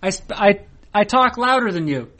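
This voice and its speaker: A man's voice: high voice, expressive, moderate pace, fairly bright tone. Dry, wordy male podcast host. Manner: dry, wordy, formal humor. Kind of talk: deadpan scripted intro and ad read.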